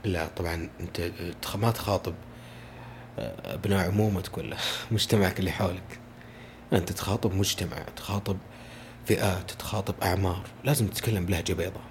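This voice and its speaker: A man's voice: low-pitched, steady tone, fast, slight background noise. A man, a voice-over artist chatting eagerly as a podcast guest. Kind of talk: casual conversation.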